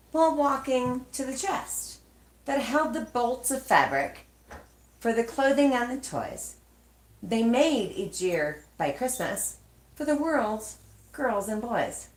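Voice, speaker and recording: A woman reading a children's storybook aloud expressively, with measured, dramatic phrasing and pauses. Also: on the high side, very animated. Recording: echoey, roomy sound.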